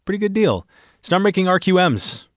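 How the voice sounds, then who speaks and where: A man's voice: high voice, big pitch swings, normal speed. A man hosting a podcast, reading a sponsor message in an upbeat, salesy way. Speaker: podcast host. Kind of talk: read ad spot.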